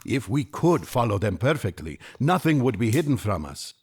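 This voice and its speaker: An earnest, devout ancient Magus in an audio drama. His solemn oratory swings widely in pitch with impassioned conviction, and the voice has a slightly husky edge. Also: sing-song, moderate pace. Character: Artaban, earnest devout male Persian Magus, fervent seeker.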